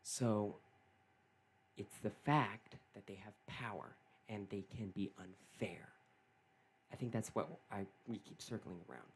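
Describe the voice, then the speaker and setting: A man who is not British, talking alone in an earnest, halting way, often restarting and repeating his phrases. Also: lowish voice, breathy edge. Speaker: political commentator. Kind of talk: solo opinion monologue.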